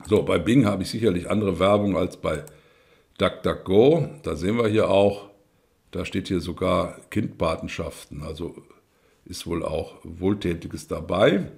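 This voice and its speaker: German man explaining a spreadsheet, low voice. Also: unhurried, creaky, a little mellow. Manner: explanatory, frequent fillers and tag questions. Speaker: instructor. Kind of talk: screencast tutorial.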